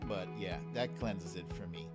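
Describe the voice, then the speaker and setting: A man's voice: low-pitched, moderate pace, loud music behind the voice. A man hosting a live stream in casual, playful commentary, chatting to viewers in slangy phrases that he often repeats.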